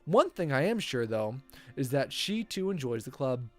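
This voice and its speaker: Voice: medium pitch, sing-song, normal speed, dry recording, soft background music. A game streamer reading a visual novel aloud in a playful, theatrical way, stumbling over the text and reacting casually.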